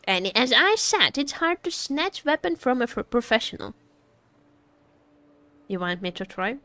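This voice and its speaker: Female streamer, high and very expressive, leisurely delivery, joking casual chat while reacting to a game. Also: measured pace, no echo. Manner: teasing, joking, giggly. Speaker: VTuber streamer.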